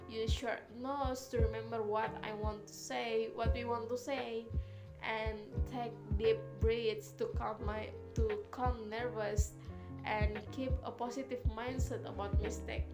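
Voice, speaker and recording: A young Indonesian woman with a non-native accent in English, presenting a class report in a halting way, with many fillers and repeated words. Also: a little high, flat delivery. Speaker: student presenter. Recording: loud music bed behind the voice. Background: loud instrumental music.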